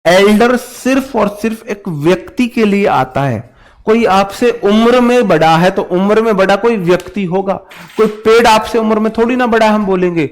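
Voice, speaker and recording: A man's voice: sits high, moderate pace. A man with an Indian accent teaching a grammar lesson informally, drilling points by repetition and addressing students familiarly. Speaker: English grammar teacher for exam candidates. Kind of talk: informal classroom-style grammar lesson, switching between Hindi and English.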